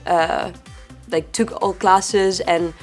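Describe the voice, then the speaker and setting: A woman's voice: lowish voice, fairly even intonation, extremely slow, faint music behind. Thoughtful young woman from the Netherlands. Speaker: graduate student. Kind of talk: interview testimonial.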